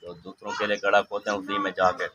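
A man's voice: lowish voice, little pitch movement, quick pace. A man with a Pakistani accent chatting casually and emphatically, repeating phrases as he makes his point. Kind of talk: casual chat, opinionated monologue. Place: outdoors in a village yard. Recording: outdoor phone recording with clear background noise.

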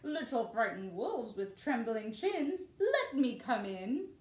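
A woman's voice: a little high, big pitch swings. A big bad storybook pig, played by a woman, bullying and demanding. He draws out his threats with heavy emphasis, in a slightly echoing room. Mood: menacing. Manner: slow, emphatic, threatening delivery.

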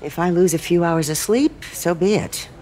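A woman playing a kind, resolute older aunt in a video game, gentle and candid. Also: fairly low pitch, normal speed, natural voice. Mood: weary, resolute. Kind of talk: dramatic game voice acting.

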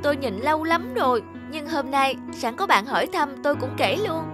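A high-voiced, discontented little tree in a children's cartoon fable. It complains dramatically and exclaims over every grievance, with music playing behind it. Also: female voice, animated, moderate pace. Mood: grumbling, annoyed. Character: whiny, discontented pandan tree, talking and pleading.

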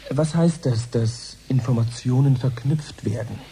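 Radio play casting: man acting formal science broadcaster, courteous, measured, dark warm tone, lightly noisy old recording. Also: medium pitch, lightly acted. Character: courteous male radio science reporter hosting live broadcast. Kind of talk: radio play styled as live news broadcast.

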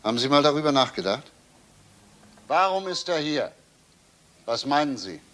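A sarcastic male police inspector in a radio crime drama. His voice sits fairly high and swings with a lively melody. He has a sharp edge and keeps pressing with insistent questions. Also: moderate pace. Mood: probing.

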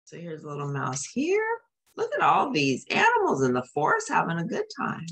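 Female storyteller for a children's picture book: husky, lowish voice, expressive read with friendly asides to young listeners. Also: moderate pace.

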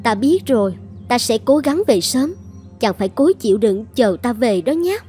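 Woman acting high-voiced proud dragon goddess. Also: lively intonation, moderate pace, dark tone, husky, soft background music. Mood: caring, resolute. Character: proud dragon goddess, devoted wife turned vengeful. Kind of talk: dubbed cartoon fairy-tale acting.

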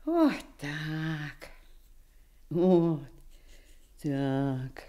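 An actress playing a kindly, fussing nanny in a radio drama, folksy and affectionate. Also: female voice, low-pitched, sing-song, very slow, warm tone, full character voice. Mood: worried, confiding. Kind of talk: Soviet radio drama acting.

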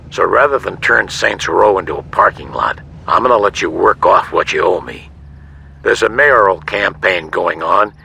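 An actor playing a corrupt middle-aged police chief in a video game, gravelly, measured and menacing as he bargains and threatens. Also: low-pitched, pushed and bright, a little hiss or hum, exaggerated voice, low-quality recording. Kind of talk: scripted video game acting.

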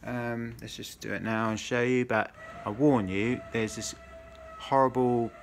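A man's voice: mid-range voice, steady tone, measured pace. A man casually talking through a demonstration in a hesitant, rambling, self-correcting way. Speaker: video maker. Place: indoors at a cluttered desk. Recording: noisy room, clear background noise. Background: motor hum and neighbours' racket.

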